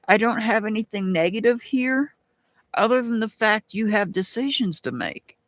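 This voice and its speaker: A tarot reader with a Southern US accent, giving live-stream readings and answering chat in a slow, musing way with frequent restarts. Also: medium pitch, animated, a bit bright, dry recording.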